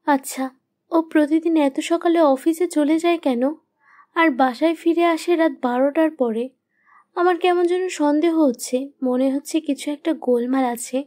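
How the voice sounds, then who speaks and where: A very high-pitched young wife played by a woman tells her cartoon horror story. She sounds anxious and suspicious of her husband, with dramatic, troubled delivery. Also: darkish tone, close to an everyday voice. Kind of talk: first-person scripted horror story narration.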